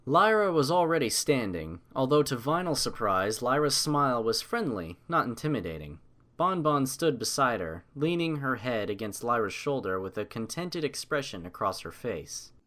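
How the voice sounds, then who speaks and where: A man's voice: mid-range voice, very animated, moderate pace, fairly bright tone. A man narrating a scripted story as an audiobook reader.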